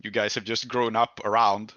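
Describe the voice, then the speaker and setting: A man's voice: fairly low pitch, little pitch movement, moderate pace, bright, forceful tone, dry recording. A man talking casually with a group about a game world, on a dull, band-limited recording. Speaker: tabletop roleplay game participant.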